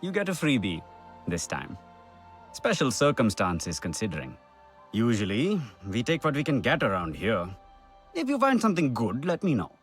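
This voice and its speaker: An actor playing a gruff, sardonic resistance supply keeper in a video game, blunt and teasing with a husky voice. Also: male voice, medium pitch, huge pitch swings, moderate pace, soft background music. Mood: wry teasing. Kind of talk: video game cutscene acting.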